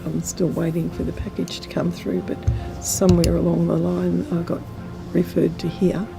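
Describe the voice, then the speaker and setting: A woman's voice: lowish voice, completely flat delivery, normal speed, creaky. An older woman giving a plain personal testimony. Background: music.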